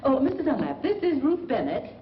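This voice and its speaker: A woman's voice: high voice, wildly swooping pitch, a little fast. An actress playing a sociable, no-nonsense boarding-house landlady with an American accent, in 1940s film style. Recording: muffled, faintly noisy old film soundtrack.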